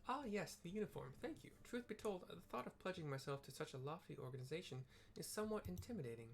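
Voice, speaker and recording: A man's voice: on the high side, expressive, quick pace, creaky, slight background noise. A man voicing an earnest, somewhat intimidated young adventurer in a fantasy game, formal and hesitant. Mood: earnest, nervous.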